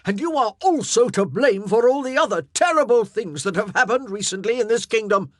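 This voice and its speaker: A woman voicing a fierce, grieving king in his forties who speaks loudly and commandingly. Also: lowish voice, expressive, unhurried, no room sound, studio quality. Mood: furious. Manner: loud, commanding and wrathful.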